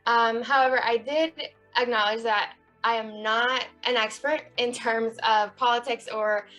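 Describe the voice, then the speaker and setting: A woman's voice: a little high, fairly even intonation, unhurried, a bit bright, faint music behind, rough recording. A young woman from Puerto Rico co-hosting a podcast in casual chat, friendly, with many ums. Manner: friendly, frequent ums, light laughter. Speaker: podcast co-host.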